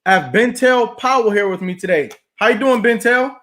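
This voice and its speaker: A man's voice: high-pitched, animated, normal speed, fairly bright tone. A man hosting an interview show, greeting viewers and prompting his guest with short, encouraging affirmations. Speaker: talk show host.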